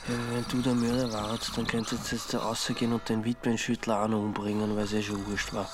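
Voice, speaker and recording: A man's voice: on the low side, level tone, a little fast, over music, lightly acted. An actor playing a hapless, hungover young Austrian man in a radio drama, speaking plaintive colloquial dialect. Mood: pleading, conspiratorial.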